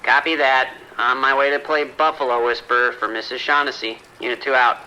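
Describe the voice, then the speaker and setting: A man's voice: mid-range voice, fairly even intonation, a little fast, sharp tone, smooth, rough recording. A man playing a laconic patrol deputy over police radio in an audio drama. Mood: dry, amused.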